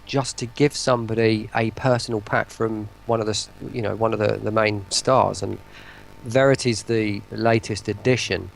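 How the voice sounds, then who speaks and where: Low, creaky-voiced man chatting. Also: fairly even intonation, moderate pace, slight background noise. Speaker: interview guest, voice pack developer. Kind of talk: casual chat, explaining a product.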